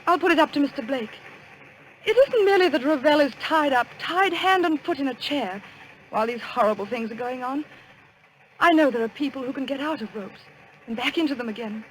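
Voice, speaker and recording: Anxious, earnest young niece. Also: female voice, high voice, animated, fairly quick. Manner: earnest, dramatic. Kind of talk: old-time radio drama acting. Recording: noisy old radio recording.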